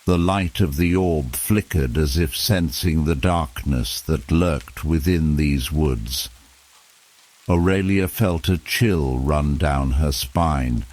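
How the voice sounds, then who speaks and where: A man narrating a bedtime fantasy story in a measured, deliberate storybook style. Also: rumbling low, darkish tone.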